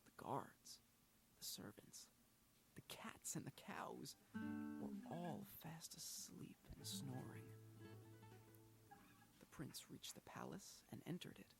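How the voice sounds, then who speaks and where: A man narrating a children's fairy tale in a soft, hushed, breathy reading. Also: medium pitch, expressive, slow, pauses often, in a whisper, poor audio. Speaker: storyteller. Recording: loud guitar music behind. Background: loud plucked guitar music.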